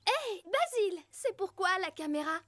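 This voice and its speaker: A woman voicing an excitable, teasing young girl in a children's cartoon. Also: very high voice, normal speed. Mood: curious. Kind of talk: animated children's series dub.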